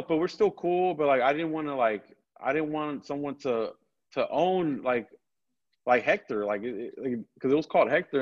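A man with a lisp, chatting casually as a podcast guest, halting and rambling, with lots of slang and swearing. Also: mid-range voice. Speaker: podcast guest, comedian and film director. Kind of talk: casual chat, slangy storytelling. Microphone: video call. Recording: dull, lo-fi video call audio.

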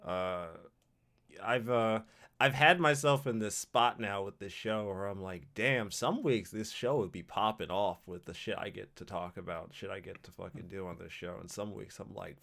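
A man in his thirties hosting a casual podcast, ranting with lots of swearing and sudden loud outbursts. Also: fairly low pitch, moderate pace, creaky. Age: 30s. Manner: profane, animated rants with sudden loud outbursts. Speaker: podcast host.

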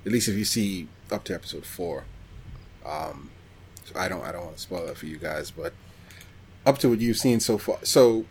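Male podcast co-host, fairly low voice, loose conversational delivery with halting, searching pauses. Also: lively intonation, darkish tone, slight background noise. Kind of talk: casual chat about anime.

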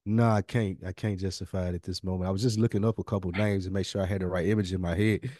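Man, American accent, deep low voice, casual banter, podcast co-host. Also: steady tone, fast talker, dark tone. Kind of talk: casual chat, fantasy draft banter.

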